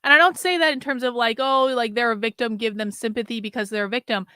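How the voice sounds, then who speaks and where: Blunt rambly woman host, bright sharp voice, dead dry sound. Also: a little high, steady tone, quick pace, clean tone, no room sound. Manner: blunt, rambling asides with frequent "like" and swearing. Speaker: commentary host reacting to a clip. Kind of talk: casual reaction commentary.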